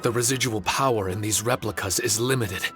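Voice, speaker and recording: A man's voice: fairly low pitch, fairly quick, a bit bright, soft background music, close to an everyday voice. A man voicing an earnest, somewhat forgetful male puppet warrior in a game. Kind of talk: video game cutscene dialogue.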